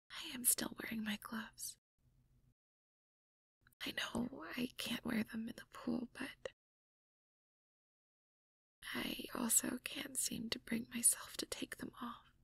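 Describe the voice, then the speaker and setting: A woman playing a shy, anxious young mage girlfriend in an intimate audio roleplay, hesitant and stammering in a breathy whisper. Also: fairly high pitch, a bit bright, no echo, heavily acted. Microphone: close microphone, dry.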